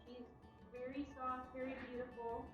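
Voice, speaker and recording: A woman's voice: high voice, little pitch movement, moderate pace, a bit bright, soft background music. A woman co-hosting a casual livestream, relaying viewers' comments in an informal chat. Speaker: cat cafe livestream co-host. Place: indoors in a cat cafe. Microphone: away from the microphone. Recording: distant, echoey, dull livestream audio.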